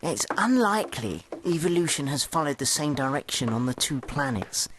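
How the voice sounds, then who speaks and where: An actor playing an excitable amateur astronomer in an audio drama, with a precise, emphatic, lecturing manner. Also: male voice, a little high, moderate pace, background noise. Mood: confident, dismissive.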